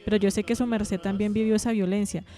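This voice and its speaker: A woman's voice: medium pitch, fairly even intonation, fast, dark tone, faint music behind, close to an everyday voice. A woman with a Colombian accent playing an attentive, affectionate granddaughter reacting expressively in a radio drama. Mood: moved, tender.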